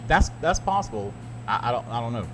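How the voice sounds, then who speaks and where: A man's voice: mid-range voice, big pitch swings, fairly quick. Rambling man on a noisy podcast. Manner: rambling, laughs at his own stories. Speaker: podcast co-host and radio DJ. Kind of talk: casual chat.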